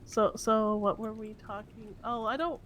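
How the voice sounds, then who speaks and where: A woman's voice: fairly high pitch, fairly even intonation, measured pace. A woman chatting casually in character in an online roleplay game, playful, repeating and stumbling over her words. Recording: clear background noise.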